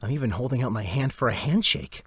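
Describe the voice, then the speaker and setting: A nervous young adventurer in an audio drama, acted out by a man, with a medium-pitched voice. He is overly polite and expressive, often muttering his thoughts aloud to himself. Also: fast, husky. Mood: forced friendliness.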